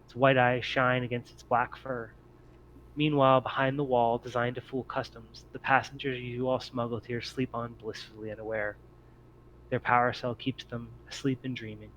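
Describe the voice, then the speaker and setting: A man reading scripted, descriptive scene-setting narration as game master of a tabletop game, in a measured storytelling way. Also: mid-range voice, flat delivery, fairly bright tone, highs cut off. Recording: noticeable background noise.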